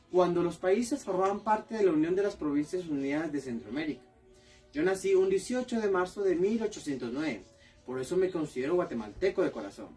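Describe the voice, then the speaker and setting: A man's voice: on the high side, darkish tone, close to an everyday voice. A man playing a proud 19th-century poet-soldier. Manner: friendly, explains to the audience. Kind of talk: first-person historical monologue. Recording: distant mic, faint background music.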